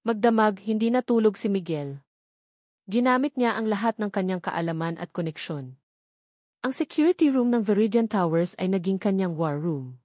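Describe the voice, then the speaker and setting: Female narrator for dramatic love stories. Solemn, suspenseful, measured delivery, mid-range voice, very dry recording. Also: medium pitch, lively intonation, a little mellow, no room sound. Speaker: narrator of a romance drama story. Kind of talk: scripted dramatic story narration.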